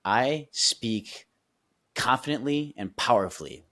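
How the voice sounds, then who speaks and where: A man's voice: mid-range voice, lively intonation, slow, fairly bright tone, no room sound. A man on a podcast as a guest coach, explaining his method casually and emphatically, checking in with the listeners as he goes and laughing easily.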